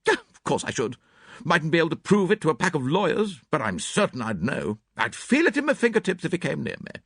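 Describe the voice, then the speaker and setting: A man's voice: on the high side, sing-song, brisk, a bit bright, slightly rough, no echo, clean studio sound, voice pushed up, exaggerated voice. A man reading aloud and voicing a brusque, blunt middle-aged woman who speaks in clipped, curt phrases. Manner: clipped and curt, drops words.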